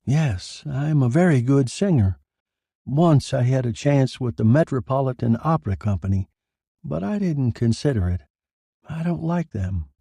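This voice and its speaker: Languid vain woman acted by a man, raised pitch, self-satisfied nightclub singer, noir radio-play style. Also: lively intonation, normal speed, vocal fry, mellow, husky, dry recording, studio quality. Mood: vain, airy.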